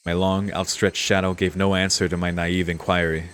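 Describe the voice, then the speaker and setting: A man with a low-pitched voice works through a story game as a let's player. He reads narration aloud and muses on it casually, in a laid-back way. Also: flat delivery, moderate pace, fairly bright tone, clear, resonant tone. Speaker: let's-play streamer. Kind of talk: reading game text aloud with casual commentary.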